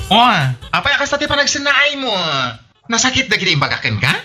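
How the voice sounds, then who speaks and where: Man as a lively, bright-voiced teasing husband. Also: very high-pitched, fast talker, faint music behind, exaggerated voice. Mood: teasing, sceptical. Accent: Ilocano. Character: teasing, sceptical husband scolding his gossipy wife. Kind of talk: Ilocano radio comedy drama acting.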